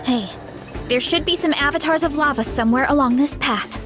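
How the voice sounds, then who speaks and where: An earnest young woman, voiced by an actress, speaks in a high voice with some hesitation. Game music runs behind her. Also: fairly quick, close to an everyday voice. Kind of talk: video game voice acting.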